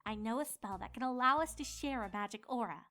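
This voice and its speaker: A woman's voice: a little high, a little fast, pushed and bright, faint music behind. A woman voicing an earnest, easily indignant young female cartoon unicorn in an insistent, bristling manner. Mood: explaining. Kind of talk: cartoon fan-fiction voice acting.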